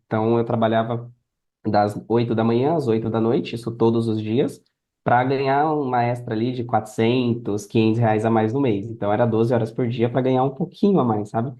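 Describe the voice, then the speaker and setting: Very mellow-voiced Brazilian man. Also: mid-range voice. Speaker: podcast guest. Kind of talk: casual personal storytelling in conversation.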